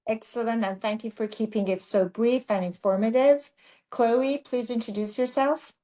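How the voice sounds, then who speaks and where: A woman moderating a webinar panel over a video call, introducing guests and framing questions in a deliberate way. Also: on the high side, steady tone, mellow.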